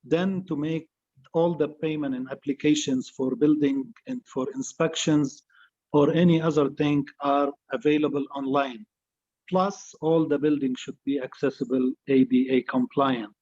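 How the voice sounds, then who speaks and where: A man answering as a candidate at a public forum, phrasing his points in deliberate, choppy pieces. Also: a little high, darkish tone. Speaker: city council candidate. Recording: dull, muffled forum audio.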